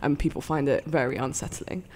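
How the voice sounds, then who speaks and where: A woman's voice: very deep voice, steady tone, fairly quick, a crowd in the background. A woman in her twenties with a London accent, a chatty, teasing guest on a live podcast who giggles often. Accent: London English. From raised in London, born in Saudi Arabia. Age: 20s.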